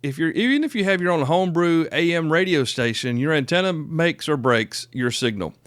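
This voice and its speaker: A man's voice: fairly high pitch, expressive, a little fast, a bit bright, dry recording. A man in his fifties hosting a solo podcast, talking casually and informally, repeating phrases for emphasis, close on the microphone. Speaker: podcast host.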